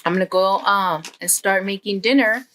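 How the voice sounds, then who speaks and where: A woman's voice: medium pitch, moderate pace, a bit bright, close to an everyday voice. A woman plays the mother of a young boy in a children's story. She is concerned and caring, with a motherly, questioning way of talking. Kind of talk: children's story character acting.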